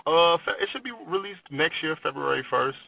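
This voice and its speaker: A man's voice: a little high, fairly quick, vocal fry. An American man in his late twenties talking casually as an interview guest, informal and slangy, often checking that the listener follows. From Chicago, USA. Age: late 20s. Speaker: rap artist, interview guest. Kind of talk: casual podcast interview chat. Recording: dull lo-fi sound, distant from mic.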